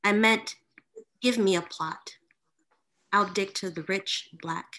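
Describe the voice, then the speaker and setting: A woman's voice: mid-range voice, slow, a bit bright, slight background noise. A woman in her thirties giving a poetry reading with casual asides, recorded at home over a video call. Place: room at home with bookshelves, indoors.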